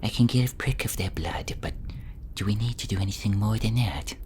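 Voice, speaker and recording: A man voicing a nervous, servile assistant to an inquisitor, hushed and hesitant, in a fantasy audio role-play. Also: on the low side, a little fast, a little hiss or hum, natural voice. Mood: meek, deferential. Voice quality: hushed, whispery. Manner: hesitant, deferential, wheedling.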